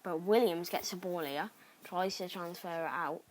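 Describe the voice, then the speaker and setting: A man's voice: high-pitched, normal speed, slight background noise. Young man with a Cardiff accent. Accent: Cardiff Welsh. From Cardiff, Wales. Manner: chatty, rambling, excitable asides. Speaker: gaming YouTuber. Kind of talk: gameplay commentary.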